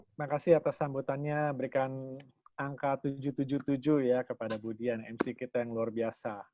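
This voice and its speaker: Upbeat man giving a sales pitch. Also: no echo. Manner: upbeat, salesy, quick bursts. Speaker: business presenter. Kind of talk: online sales presentation. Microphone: video call.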